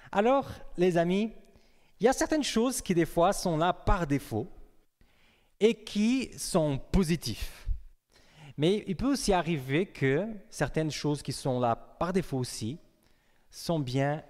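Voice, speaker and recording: Young male preacher, fairly high voice, chatty with the congregation, reverberant church hall. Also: darkish tone. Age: young adult. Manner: conversational, asks the congregation questions. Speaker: pastor. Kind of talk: sermon.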